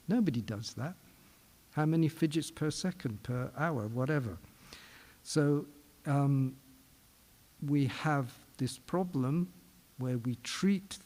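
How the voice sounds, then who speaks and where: A man's voice: medium pitch, mellow. Middle-aged man lecturing in a reverberant hall. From England. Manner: questioning, measured explanation with fillers and restarts. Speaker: psychiatrist giving a forum talk. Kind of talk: public lecture. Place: conference hall.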